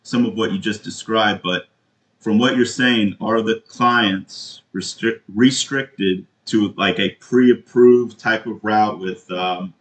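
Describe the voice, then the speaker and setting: Guy w lowish voice in a roomy echo, customer on a phone call, halting but pushy earnest questions. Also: fairly even intonation. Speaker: caller, prospective customer. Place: indoor room, phone call.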